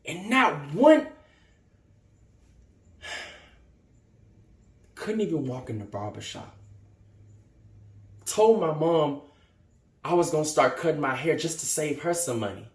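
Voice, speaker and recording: A man's voice: high voice, recorded from a distance. A man performing a dramatic stage monologue as a wounded, indignant young man, theatrical and emphatic. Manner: theatrical, emphatic, swinging from outburst to hushed hurt. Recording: echoey room.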